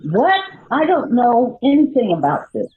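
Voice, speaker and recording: A woman's voice: fairly high pitch, big pitch swings, measured pace. A woman playing a worried, indignant homeless citizen in an amateur radio play, earnest and incredulous. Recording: phone-like muffled sound with faint noise.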